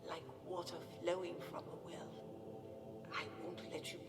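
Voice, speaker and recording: An actress plays an elderly saintly nun, gently devout and consoling. Her low voice turns breathy and prayerful, in the solemn style of a radio play. It sounds distant and echoey, with loud music behind it. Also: flat delivery, a little slow. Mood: tender, guiding.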